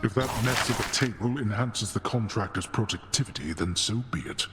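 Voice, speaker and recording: A man's voice: fairly low pitch, moderate pace, natural voice. Man as a stern god in long reverb. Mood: grudging, dismissive. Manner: pompous, cold, commanding, formal. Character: stern, scornful god of the dead, imperious father. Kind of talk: video game character acting. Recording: long hall reverb over music.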